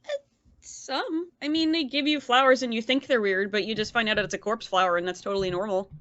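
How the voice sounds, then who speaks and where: A woman playing a forgetful, earnest young vampire in a tabletop game, stammering and halting as she talks. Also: fairly high pitch, fairly even intonation, a bit bright, highs cut off, natural voice.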